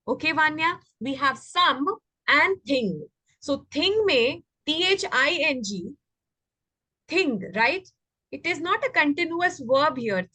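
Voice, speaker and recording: Indian female schoolteacher, higher voice, explains and quizzes young pupils, very dry recording. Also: pushed and bright, dry recording. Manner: explanatory, checks understanding with frequent questions. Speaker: teacher of an online class. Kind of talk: interactive English grammar lesson for children.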